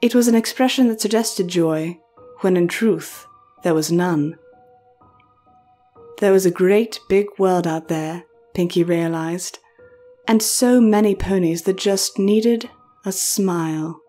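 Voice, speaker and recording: A woman's voice: on the low side, slow pace, warm tone, soft background music. A woman reading scripted horror fiction as a storyteller, in narration.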